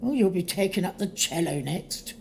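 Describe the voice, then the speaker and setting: A woman's voice: fairly low pitch, lively intonation, moderate pace, darkish tone. A woman playing a grumbling, disapproving lady ghost in an audio drama, with a tart, scolding manner. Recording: faint noise behind.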